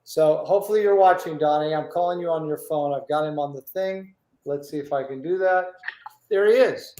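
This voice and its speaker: A man hosting a casual live interview, stammering and repeating words in an excitable way. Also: a little high, a little fast. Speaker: talk show host. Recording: roomy, echoey sound.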